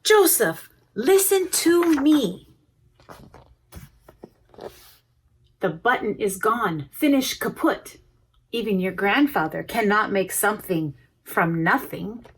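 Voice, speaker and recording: A woman's voice: mid-range voice, big pitch swings, measured pace, slight background noise. A woman voicing a practical, scolding mother in a storybook, firm and emphatic.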